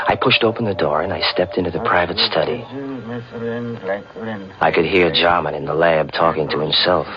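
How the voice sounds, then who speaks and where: A young man with a low voice, recounting a mystery in measured, suspenseful fashion. It is 1940s radio drama, muffled like an old broadcast, with music clearly behind him. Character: young man telling his eerie tale, furtively curious.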